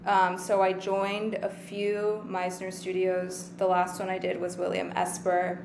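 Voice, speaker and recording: A person in their twenties from Taiwan, chatting casually as an interview guest, relaxed and rambling with lots of fillers. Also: female voice, lowish voice, measured pace, vocal fry, a little hiss or hum, poor audio. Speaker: guest actor.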